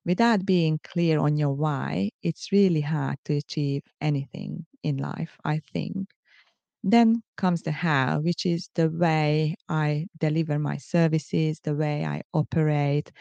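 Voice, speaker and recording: Gravelly middle-aged woman with Hungarian accent. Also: low-pitched, warm tone, no room sound. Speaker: podcast host. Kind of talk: solo podcast monologue, semi-scripted.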